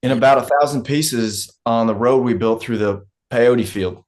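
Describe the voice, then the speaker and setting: Guy acting as a gruff oilfield fixer, blunt n folksy, swears a lot, sarcastic, mid pitch. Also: moderate pace, a little mellow, natural voice. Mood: dry. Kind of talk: script table read.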